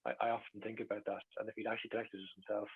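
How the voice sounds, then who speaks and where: A man's voice: lowish voice, level tone, rapid-fire, husky. An Irish man telling anecdotes in casual chat as a guest, with hesitant starts and repeated words. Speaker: interview guest. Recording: muffled, lo-fi voice track.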